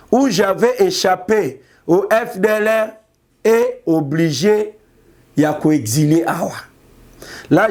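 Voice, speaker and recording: A male news commentator. He talks slowly in a fairly high voice. He often mocks the people he talks about and laughs at his own remarks. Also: a little mellow.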